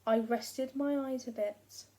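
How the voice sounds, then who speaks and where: A storybook giant played by a woman with a higher-pitched voice and a very slow, deliberate delivery. He is huge, hungry and sorry for himself, grumbling sarcastically and stressing each grievance. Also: fairly even intonation, dark tone. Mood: rueful. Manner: complaining, sarcastic, emphatic. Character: grumbling, self-pitying, hungry giant husband, huge and aggrieved. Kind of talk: first-person storybook narration read aloud.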